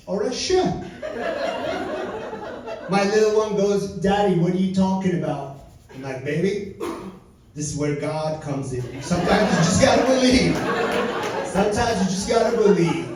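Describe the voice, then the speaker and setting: A man's voice: high-pitched, a little mellow, far from the mic. A man, doing a stand-up set with dry, wry storytelling. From Turkey. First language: Turkish. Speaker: stand-up comedian. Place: comedy lounge stage. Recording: echoey lounge, mic from afar.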